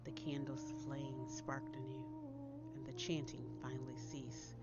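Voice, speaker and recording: American female storyteller, low and lively, dramatic audiobook read over prominent music. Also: unhurried. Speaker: author reading her own short story. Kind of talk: expressive audiobook story narration. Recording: dull lo-fi audio under loud music.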